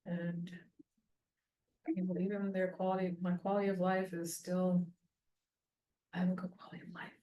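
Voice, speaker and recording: A woman in her thirties chatting casually while doing her hair, meandering and self-deprecating, thinking out loud as she goes. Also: fairly low pitch, flat delivery, normal speed, long pauses, lo-fi sound. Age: 30s. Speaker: small-business vlogger. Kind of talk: rambling casual chat. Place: home bathroom. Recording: echoey bathroom, voice far from mic.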